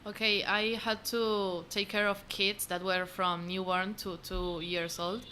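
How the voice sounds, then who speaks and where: Young woman, Argentinian accent, native Spanish speaker, bright forward voice, halting guest delivery, faint room noise. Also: medium pitch, level tone. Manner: halting, searching for words. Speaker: interview guest, volunteer. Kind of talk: casual interview answers.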